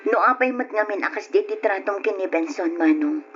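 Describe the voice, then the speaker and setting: An actress with a northern Philippine accent playing a concerned, coaxing aunt in a radio drama. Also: female voice, medium pitch, expressive, moderate pace, fairly bright tone, faint music behind, natural voice. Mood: concerned, reasoning. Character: concerned, reasoning aunt standing up for her nephew. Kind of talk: Ilocano radio drama acting. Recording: dull lo-fi old radio.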